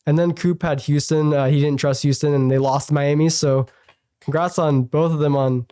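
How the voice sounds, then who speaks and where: A young man hosting a college radio sports show, chatty and full of fillers, slightly hoarse and sniffly from a cold. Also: a little high, steady tone, normal speed, warm tone, no room sound, studio quality. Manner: chatty, many fillers and self-corrections. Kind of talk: casual solo sports talk.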